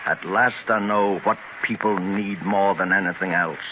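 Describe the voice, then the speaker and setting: An actor playing a determined, idealistic older Scottish industrialist in 1930s radio drama style, speaking with plain, emphatic conviction. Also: male voice, low voice, fairly even intonation, unhurried. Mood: earnest, idealistic. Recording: muffled, noisy 1930s radio transcription.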